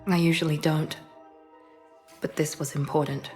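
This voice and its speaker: A woman's voice: deep voice, flat delivery, moderate pace, a little mellow, faint music behind, natural voice. A woman playing a proud, guarded secret operative in a video game, speaking in a serious, controlled and earnest way. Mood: earnest, composed. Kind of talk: cinematic video game acting.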